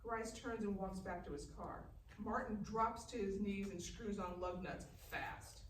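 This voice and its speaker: A woman's voice: mid-range voice, measured pace. Woman narrator in an echoey room. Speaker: stage-direction narrator. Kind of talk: scripted narration at live table read. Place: live reading in a festival room. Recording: echoey room, distant mic, faint noise.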